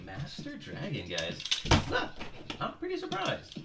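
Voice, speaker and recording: A man's voice: on the high side, wildly swooping pitch, measured pace, vocal fry, very noisy. A middle-aged man from El Salvador, hosting a casual livestream Q&A. He rambles in a chatty way and often restarts his words. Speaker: YouTube channel host. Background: music playing.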